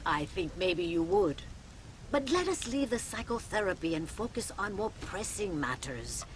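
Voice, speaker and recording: An actress playing a dry-witted older mother superior, speaking in a measured, sardonic way in a video game cutscene. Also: medium pitch, sing-song, a little hiss or hum, close to an everyday voice. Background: game score music.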